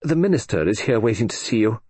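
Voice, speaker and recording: A man's voice: lowish voice, very animated, quick pace, a little mellow, slightly rough, dry recording. A man voicing a polite, prim secretary in an audiobook, with a lifted voice.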